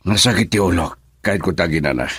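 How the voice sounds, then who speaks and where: Deep, husky, irritable husband. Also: male voice, quick pace, fairly bright tone, no room sound, studio quality. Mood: exasperated, shocked. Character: irritable, weary husband and father, middle-aged, gruff. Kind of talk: Ilocano radio drama acting.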